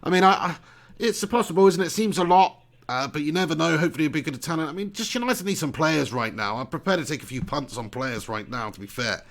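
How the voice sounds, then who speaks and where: A man's voice: fairly high pitch, animated, fast talker. A young man hosting a live football watch-along, reading out chat messages and calling the action in a casual, laughing way. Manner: chatty, laughing, spiking loud for match action.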